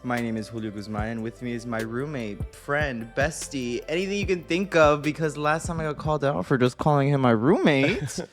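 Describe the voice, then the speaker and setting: A man's voice: mid-range voice, moderate pace, few pauses, soft background music. A young man co-hosting a podcast, chatting casually and playfully with frequent laughter. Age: young adult. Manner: playful, laughs often, animated. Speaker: podcast co-host. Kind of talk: casual chat.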